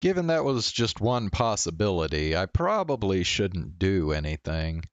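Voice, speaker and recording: A man's voice: deep voice, very animated, normal speed, dry recording, muffled recording. A man reading a visual novel aloud as a streamer.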